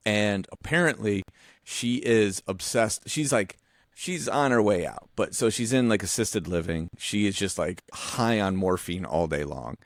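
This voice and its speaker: Rambling low-voiced man in his forties. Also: a little slow, dry recording, studio quality. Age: 40s. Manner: rambling, self-interrupting, animated storytelling; casually profane. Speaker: podcast co-host; visual artist. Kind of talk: casual podcast chat.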